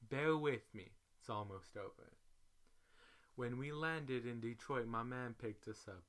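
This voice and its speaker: Bright, forceful male storyteller. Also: mid-range voice, a little slow. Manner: animated, profane, slangy, acts out each quote. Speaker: reader, storyteller. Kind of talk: dramatic reading of a social media story thread.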